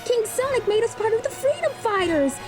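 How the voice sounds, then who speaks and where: Casting: excitable cartoon hedgehog youngster, extremely high and bouncy, over loud music. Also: female voice, a little fast. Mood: excited. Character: excitable little hedgehog child, eager, boisterous. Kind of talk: animated comic dub voice acting.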